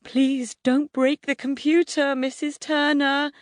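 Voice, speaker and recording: Lady doing a nervous 12yo boy in an audiobook, shaky, earnest, pitched right up. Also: level tone, slow, no room sound, clean studio sound. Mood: pleading. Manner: timid, trembling, earnest. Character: nervous, trembling twelve-year-old neighbour boy.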